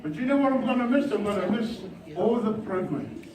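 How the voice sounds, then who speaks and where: High-voiced pastor in an echoey hall. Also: male voice, expressive, fairly quick, crowd noise. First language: Samoan. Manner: rousing, swings from soft asides to shouted exhortation. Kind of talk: revival sermon with bilingual asides. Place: church hall. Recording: echoey hall, distant mic, background noise.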